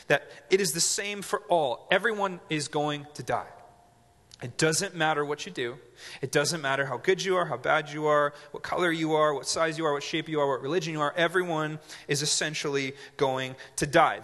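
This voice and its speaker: A man's voice: fairly high pitch, fairly bright tone. A man in his twenties preaching a sermon as pastor, conversational with joking asides and frequent check-ins with the audience. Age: 20s. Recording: reverberant hall.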